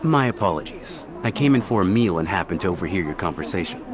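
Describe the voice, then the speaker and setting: Well-off, courteous official in a game cutscene; lowish voice with lively inflection, formal and polite. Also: male voice, fast, a crowd in the background. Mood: apologetic, polite. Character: courteous, wealthy male official seeking travel companions. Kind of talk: video game cutscene acting. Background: background music.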